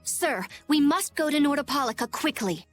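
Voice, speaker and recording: A woman playing a brisk, dutiful young female knight in a dubbed anime game, reporting formally and urgently. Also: high-pitched, expressive, faint music behind.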